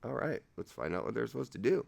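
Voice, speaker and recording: A man reading legal text aloud haltingly, rereading and correcting himself, with irreverent, offhand commentary of his own. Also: mid-range voice, big pitch swings, vocal fry, a little mellow. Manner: irreverent, sarcastic asides. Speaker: amateur reader of a legal document.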